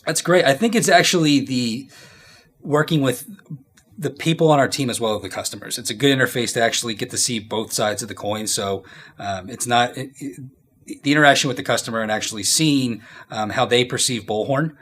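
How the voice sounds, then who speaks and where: A man in his thirties with a mid-range voice speaks as an executive being interviewed at work. His answers are informal and unscripted. He pieces his sentences together as he goes, halting and thinking aloud. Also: mid-range voice, a bit bright. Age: 30s. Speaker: interview guest, company executive. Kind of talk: casual workplace interview answers.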